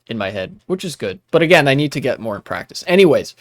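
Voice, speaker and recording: A man's voice: medium pitch, very animated, fast, dry recording. A young man streaming while gaming, talking casually with many restarts and blunt asides.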